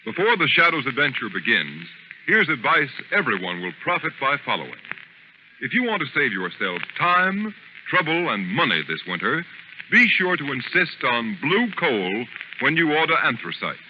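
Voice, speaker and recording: A man's voice: medium pitch, very animated, moderate pace. A man announcing in 1930s radio style, reading scripted copy with formal, emphatic delivery. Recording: dull, hissy vintage radio recording.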